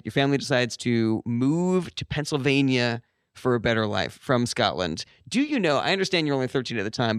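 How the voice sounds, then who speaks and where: A man's voice: medium pitch, clean tone, no echo. Dry, hesitant comedy interviewer man. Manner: mock-serious interviewer, hesitant with fillers. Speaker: podcast host.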